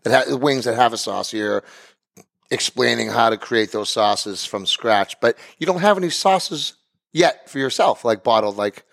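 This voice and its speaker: A man's voice: medium pitch, measured pace, vocal fry, a bit bright, dry recording, clean studio sound. A man with a Boston accent co-hosting a show, chatting and bantering casually. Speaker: show co-host.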